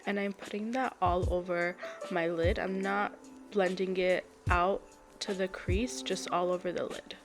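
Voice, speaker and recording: A woman's voice: fairly low pitch, level tone, measured pace. A young woman in her twenties, a bit congested and nasal, chatting through a makeup tutorial voice-over step by step and apologizing now and then. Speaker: beauty vlogger. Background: background music.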